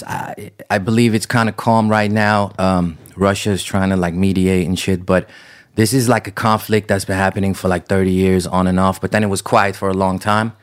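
A man's voice: on the low side, moderate pace, clear, resonant tone. A man who grew up in the Netherlands, a podcast guest chatting casually with lots of fillers. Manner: relaxed, loose, frequent fillers. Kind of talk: casual interview chat.

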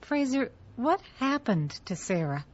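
A woman's voice: on the high side, sing-song, a little slow. An actress playing an anxious, irritable young woman musician in an audio drama, with an edgy, naturalistic delivery. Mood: uneasy. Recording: dull lo-fi audio with background noise.

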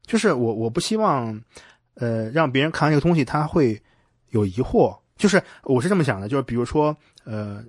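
Male podcast host, quick pace, close dry sound, conversational, step-by-step explanations. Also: mid-range voice, sing-song, no room sound. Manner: explanatory, reasons step by step, checks with "right?". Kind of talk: casual conversational interview.

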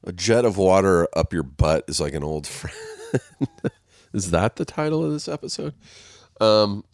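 A man with a very low voice, stuffy and nasal from congestion, recorded dry and close. He rambles through a casual solo podcast, swearing freely and laughing at his own stories. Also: expressive, moderate pace, a little mellow, no echo. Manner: rambling, profane, self-mocking, laughs often. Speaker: podcast host. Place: waiting on a set, recording alone.

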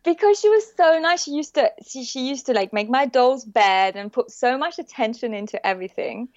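A woman with a French accent, a guest chatting casually in an interview, warm and often laughing with hesitant fillers. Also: sits high, animated, brisk, dry recording, dull audio. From born in the UK, raised in the south of France. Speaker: guest, bio-neuroemotion practitioner.

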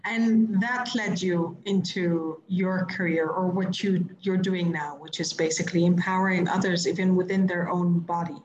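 A woman's voice: fairly low pitch, steady tone, normal speed, hardly any pauses, a little mellow, dull audio, a little hiss or hum. A woman hosting a podcast, asking open questions and affirming her guest. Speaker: podcast host. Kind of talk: interview questions.

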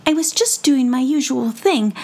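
A woman voicing a lively, kind female squirrel who talks in a bright, bubbly way. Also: high voice, normal speed, pitched above the performer's own voice. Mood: apologetic.

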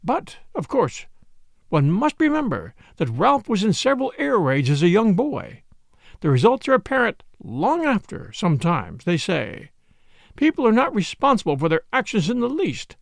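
A man's voice: high-pitched, huge pitch swings, normal speed, vocal fry, no room sound, studio quality, voice pushed up, full character voice. A man voicing a fretful, self-pitying older mother in a storybook, plaintive, fussy and rambling. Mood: pious fretting.

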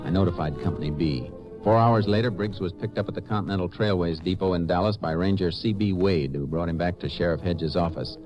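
Male actor playing laconic Ranger investigator, very low pitch, matter-of-fact, 1950s radio drama, dull old recording. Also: fairly quick, over music, natural voice. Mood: measured narrating. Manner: matter-of-fact, firm, clipped questioning. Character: laconic, methodical Texas Ranger investigator, an adult man. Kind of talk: 1950s radio drama acting, dialogue and narration.

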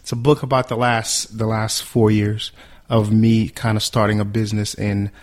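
A young man from Haiti, a guest on a podcast, answering in a relaxed, humble, reflective way. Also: fairly low pitch, normal speed. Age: young adult. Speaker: guest, entrepreneur. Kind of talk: interview answers.

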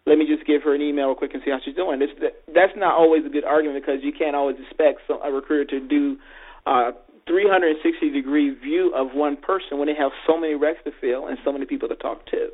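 A man, a recruiter co-hosting a podcast, making measured counterpoints in a debate. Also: fairly high pitch, husky. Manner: measured, reasoning, counters calmly. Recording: tinny phone line.

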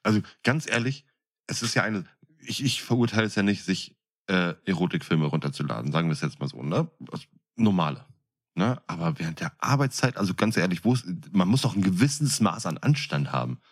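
A man's voice: sits low, big pitch swings, fairly quick. A man co-hosting a podcast in casual chat, with a jokey, colloquial, buddy-like way of talking. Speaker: podcast co-host.

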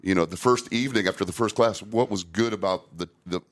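A man's voice: lowish voice, quick pace. A middle-aged man hosting a casual podcast conversation about safety training, speaking candidly with frequent restarts.

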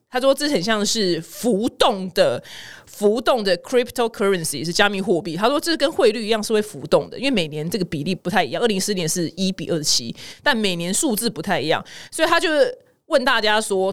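A woman with a Taiwanese Mandarin accent giving casual, chatty news commentary as a podcast host, acting out the people she quotes. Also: mid-range voice. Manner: chatty, animated retelling with acted-out quotes.

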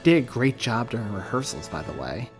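A man's voice: medium pitch, sing-song, brisk, faint music behind, natural voice. A man voicing an easygoing, reassuring young schoolboy in a storybook reading. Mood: warm teasing. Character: good-natured, calm young schoolboy.

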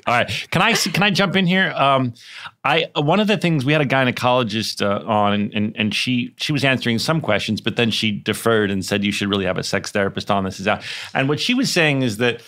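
A man's voice: medium pitch, a little fast, pushed and bright. A man co-hosting a podcast in casual conversation, often restarting his phrases as he works out his point. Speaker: podcast co-host.

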